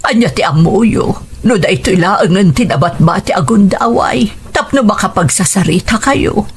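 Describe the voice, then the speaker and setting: A woman playing a patient mother of grown children in a radio drama, gently imploring with an Ilocano accent. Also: medium pitch, expressive, normal speed, a little hiss or hum, lightly acted. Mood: earnest pleading.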